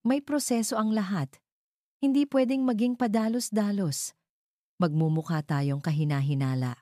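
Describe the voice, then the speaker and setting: A cold, ambitious male CEO from a romance drama, acted out by a woman narrator. He is stern, curt and controlling. The voice is mellow and recorded dry. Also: medium pitch, moderate pace, no room sound, voice pushed up, natural voice.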